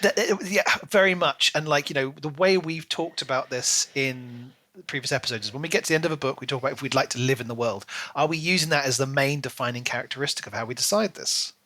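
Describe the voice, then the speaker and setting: A man's voice: medium pitch, fast talker, few pauses, bright, forceful tone, no room sound. A man co-hosting a podcast, chatting casually and animatedly about books in rambling asides. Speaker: podcast co-host. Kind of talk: casual book discussion.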